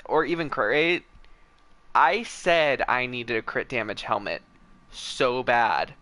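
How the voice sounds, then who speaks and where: Bright-voiced man streaming a game. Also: mid-range voice, slow. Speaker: livestream host playing a video game. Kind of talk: casual chat and game commentary. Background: faint game music.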